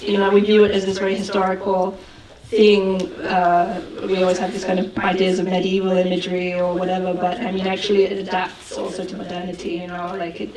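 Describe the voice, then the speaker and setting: Woman, film director, halting thoughtful answers, roomy hall, distant mic, crowd behind. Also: medium pitch. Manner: thoughtful, many fillers and restarts. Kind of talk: festival Q&A answers. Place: festival hall with audience.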